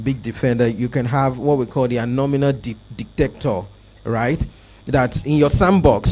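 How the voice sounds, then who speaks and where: A man in his thirties answering as a cybersecurity expert on a conference panel, halting with fillers and restarts, then more emphatic, into a handheld mic. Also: mid-range voice, a little mellow. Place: conference hall on stage.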